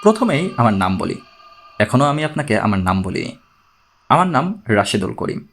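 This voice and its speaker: A man voicing a polite, self-assured male professor in an audiobook, measured and orderly in his speech. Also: mid-range voice, big pitch swings, a bit bright, slight background noise, voice pushed down. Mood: composed, polite. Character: formal, self-assured male mathematics professor seeking a consultation.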